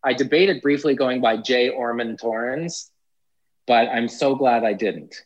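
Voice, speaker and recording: A Canadian man with a naturally high voice, chatting playfully in an interview about acting and impressions. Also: dull audio. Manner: playful, self-deprecating, quick asides. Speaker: actor and impressionist guest. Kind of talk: casual interview chat.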